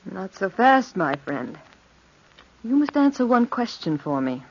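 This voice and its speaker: An actress playing an imperious noble countess in an old-time radio drama, gracious and coolly playful with a regal, measured delivery. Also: female voice, mid-range voice, sing-song, darkish tone, slight background noise. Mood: regal poise. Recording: dull vintage radio recording.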